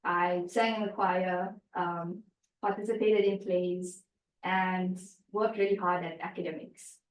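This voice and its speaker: A woman's voice: fairly low pitch, steady tone, unhurried, lo-fi sound. A woman from Africa, giving a prepared speech at a club meeting and telling her story while asking the audience questions. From South Africa. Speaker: club speaker. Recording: echoey room, distant mic.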